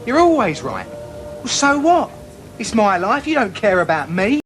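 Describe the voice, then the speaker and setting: High, agitated defensive son. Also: male voice, sing-song, a little slow, a bit bright, faint music behind, full character voice. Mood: angry, defensive. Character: defensive, hot-tempered grown son arguing with mother. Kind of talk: screen drama acting.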